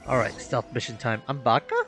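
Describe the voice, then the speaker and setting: A man's voice: mid-range voice, wildly swooping pitch, fairly quick, fairly bright tone, faint music behind. A man giving casual gameplay commentary, slangy and exclaiming, talking back to the game. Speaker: gaming streamer.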